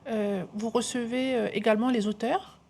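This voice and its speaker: A woman's voice: on the high side, fairly even intonation, moderate pace, vocal fry, darkish tone. A woman hosting a TV talk show interview on a studio set, asking hesitant questions with many fillers. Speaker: host.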